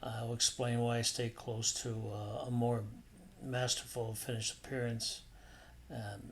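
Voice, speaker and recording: A man's voice: medium pitch, little pitch movement, a little slow. Casual man explaining a painting demo. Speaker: painting instructor and artist. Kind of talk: informal voice-over narration of a painting demonstration.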